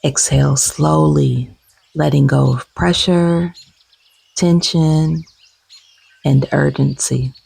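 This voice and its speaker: A woman leading a guided meditation gently and soothingly, with soft, breathy, husky tones and long unhurried pauses. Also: low-pitched, fairly even intonation.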